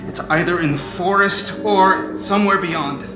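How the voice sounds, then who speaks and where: An actor playing a cautious scientist in a video game, speaking in a measured, dryly understated way. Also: male voice, sits high, music in the background. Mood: anxious. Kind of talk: video game cutscene acting.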